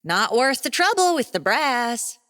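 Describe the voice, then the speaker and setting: A male audiobook reader voicing a young woman soldier with a drawling Mississippi twang, soft and dreamy. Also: very high voice, sing-song, a little slow, no room sound, studio quality, heavily acted. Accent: Mississippi twang (put on). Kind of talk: audiobook character voice.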